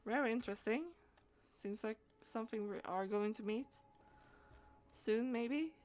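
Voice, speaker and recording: A woman with a fairly high voice chats through a game on a live stream. She speaks very slowly and hesitantly. Her phrases often trail off as she thinks aloud. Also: darkish tone. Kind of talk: casual live gaming stream commentary.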